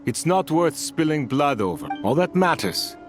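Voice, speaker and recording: An actor playing a kind-hearted oasis chief in a video game, speaking with unhurried, peaceable authority. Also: male voice, a little high, sing-song. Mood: calm, firm. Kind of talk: scripted video game acting. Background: music.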